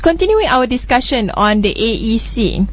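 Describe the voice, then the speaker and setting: A woman hosting a radio current affairs discussion, speaking in a measured way with frequent fillers and restarts. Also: a little high, sing-song. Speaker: radio show host. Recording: slightly muffled studio audio.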